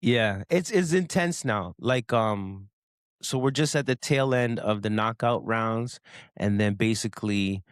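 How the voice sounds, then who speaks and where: A man from Canada co-hosting a podcast, talking in animated casual chat and often repeating words as he builds a point. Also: on the low side, unhurried, clean tone, no echo, studio quality. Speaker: podcast co-host.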